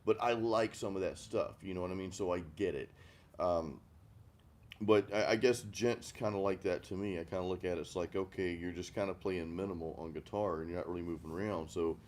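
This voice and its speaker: A middle-aged man from the American Deep South hosting a casual music chat, rambling and self-deprecating with frequent restarts. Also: fairly quick, a little mellow. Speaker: host of a music chat stream. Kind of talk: casual chat over beers, reading live comments.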